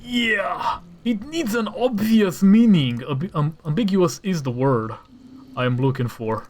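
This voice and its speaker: A man's voice: high voice, lively intonation, measured pace. A man hosting a gaming channel, thinking aloud in casual gameplay commentary and breaking into exasperated rants. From Romania. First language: Romanian. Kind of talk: casual gameplay commentary while showing a mod.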